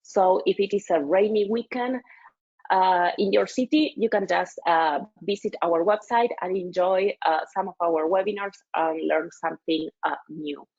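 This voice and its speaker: A woman's voice: on the low side, normal speed, a little mellow, highs cut off. A woman hosting a webinar, speaking with many hesitations and fillers. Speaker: webinar host.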